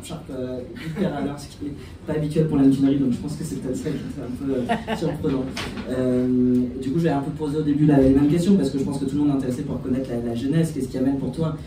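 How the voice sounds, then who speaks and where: A man's voice: medium pitch, noisy background. A man moderating a panel, asking long rambling questions with fillers. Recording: echoey room, distant mic.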